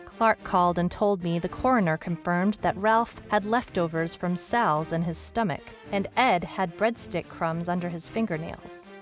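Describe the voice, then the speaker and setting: A woman's voice: lowish voice, normal speed, hardly any pauses, faint music behind, lightly acted. A woman playing a wry detective who recounts a strange case in a dry, storytelling way, as scripted audio drama narration. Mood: wry, matter-of-fact.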